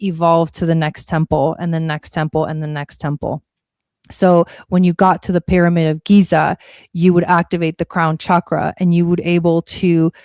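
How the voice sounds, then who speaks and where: Dry-sounding, lower-voiced woman explaining casually. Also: darkish tone, dry recording. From born in Argentina, grew up in California. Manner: chatty, frequent "like" and rephrasing. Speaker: guest, spiritual teacher. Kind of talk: casual explanatory interview talk.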